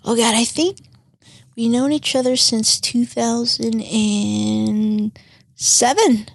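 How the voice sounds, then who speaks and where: A woman's voice: a little high, fairly even intonation, takes it slowly, vocal fry, dry recording, studio quality. A woman in her forties, born in Vietnam, chatting as a podcast guest in a bubbly, playful way. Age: 40s. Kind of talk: casual chat.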